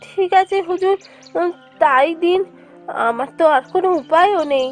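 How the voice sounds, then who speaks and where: A woman's voice: very high-pitched, moderate pace, faint music behind. A woman voicing a poor, anxious village wife in a cartoon fairy tale, pleading and emotive. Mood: resigned, worried.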